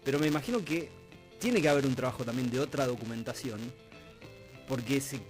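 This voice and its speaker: A man's voice: medium pitch, fairly quick, rough recording. Argentine man hosting, music behind. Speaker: radio host interviewing guests. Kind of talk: conversational radio interview. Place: radio studio.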